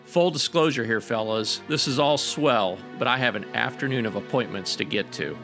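Man acting bright, folksy landlord doctor. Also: medium pitch, normal speed, soft background music, close to an everyday voice. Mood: politely impatient. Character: folksy, self-satisfied male doctor and landlord client. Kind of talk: 1940s noir radio drama pastiche.

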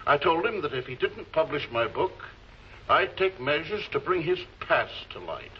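A man's voice: on the high side, lively intonation, moderate pace, close to an everyday voice. Male novelist suspect for a male actor, vintage TV mystery. Articulate, guarded, defensive; muffled audio with faint hiss. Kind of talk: 1940s television crime drama acting. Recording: muffled, noisy old film soundtrack.

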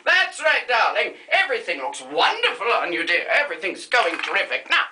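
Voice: medium pitch, wildly swooping pitch, a little fast, pushed and bright, echoey room, a little hiss or hum, heavily acted. A performer playing a vain, aging female film star who rambles and drops names in a grand diva manner, in a comedy makeup-tutorial sketch. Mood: campy.

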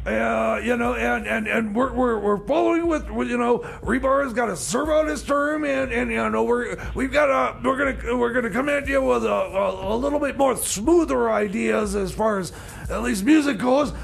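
A man playing a flustered, bumbling radio DJ in comedy radio theater, with a croaky voice and halting, stammering delivery. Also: very high voice, heavily acted. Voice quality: creaky, croaky. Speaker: radio show host.

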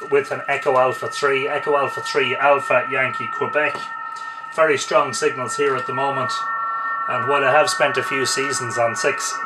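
An Irish man with a mid-pitched voice talks through what he is doing in an unhurried way, partly to himself. He sounds some distance from the camera mic in a small home room, with loud noise behind him. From Ireland. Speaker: amateur radio operator demonstrating. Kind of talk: live commentary while operating a radio. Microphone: camera a couple of metres away.

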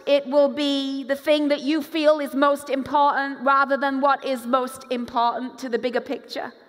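Woman, pastor, high voice, echoing hall, lively sermon. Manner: animated preacher, acts out quoted voices, moves around the stage. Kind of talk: conference sermon. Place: large dark stage with hall reverb. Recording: long hall reverb.

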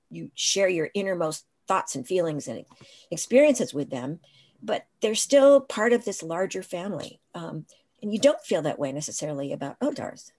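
An older woman speaks over a video call from her home, her low voice carrying a husky edge. She tells stories reflectively and loops back to restart her sentences. Her pace stays conversational as she answers questions. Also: a little mellow. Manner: reflective, storytelling, frequent restarts. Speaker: interview guest, writing professor. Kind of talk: casual conversational interview. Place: home room, indoors.

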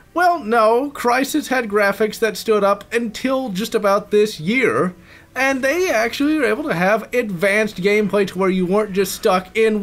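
A man's voice: high-pitched, fairly bright tone. A man delivering a scripted video game review as a voice-over, opinionated, with theatrical stress on key words.

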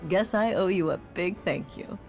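An actress playing a wry, affectionate young woman in an animated fantasy game, relaxed and teasing in manner. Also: lowish voice, normal speed, vocal fry, soft background music. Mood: wry, fond.

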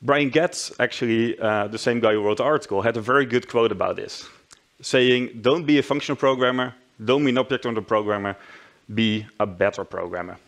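A man's voice: mid-range voice, a little fast, a bit bright. A man in his thirties with a Dutch accent, giving a friendly, self-deprecating tech talk on a conference stage, with frequent restarts. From Netherlands. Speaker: conference speaker. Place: large conference hall stage.

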